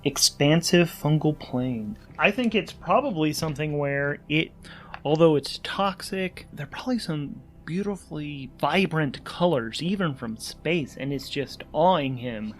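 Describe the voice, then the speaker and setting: A man's voice: fairly high pitch, lively intonation, measured pace, soft background music. A man narrating his solo tabletop role-playing game, thinking aloud in a conversational, musing way as host and player.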